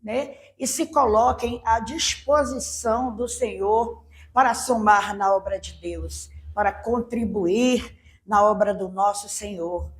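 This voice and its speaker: Slow, measured woman pastor. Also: medium pitch. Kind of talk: recorded reflection on faith.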